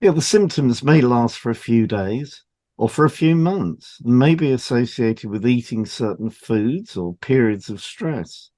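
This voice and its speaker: A man co-hosting a podcast, reading scripted health information in a measured, explanatory way. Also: mid-range voice, expressive, dark tone. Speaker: podcast co-host and hypnotherapist. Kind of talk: scripted educational podcast, read aloud.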